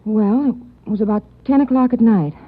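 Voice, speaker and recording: An actress playing a shaken young woman recalling a crime she witnessed in a 1940s radio drama, halting and stammering. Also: on the high side, slight background noise, natural voice. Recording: thin phone-like 1940s radio.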